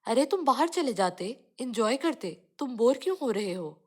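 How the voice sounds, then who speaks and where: A woman's voice: on the high side, very animated, moderate pace. A woman voicing an easygoing, busy city uncle in a cartoon, talking casually and reassuringly.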